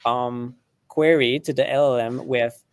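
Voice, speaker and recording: A man's voice: mid-range voice, fairly even intonation, a little slow, clear, resonant tone, no room sound. A man whose first language is not English, co-hosting a live coding stream and explaining chattily with frequent restarts and fillers. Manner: chatty, many restarts and fillers, laughs often. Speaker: co-host.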